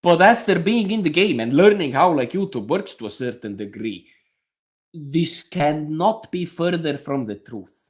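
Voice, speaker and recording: A man's voice: a little high, animated, moderate pace. Brief: young man, slightly raised voice, frank and profane livestream host. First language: Estonian. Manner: frank, swears casually, storytelling. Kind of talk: casual livestream chat.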